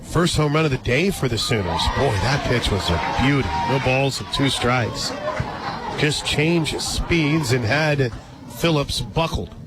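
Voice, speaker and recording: A man calling a softball game live on the radio, mixing pitch-by-pitch play-by-play with conversational asides. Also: animated, measured pace. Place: stadium radio booth at an outdoor ballpark. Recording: loud crowd and music behind. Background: loud ballpark crowd and music.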